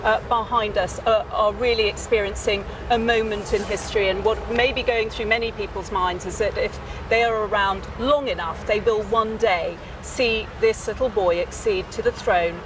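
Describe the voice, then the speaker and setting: Female correspondent, medium pitch, live outdoor commentary with noticeable background noise. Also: steady tone, moderate pace, few pauses. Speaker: news correspondent. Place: outdoors outside a palace.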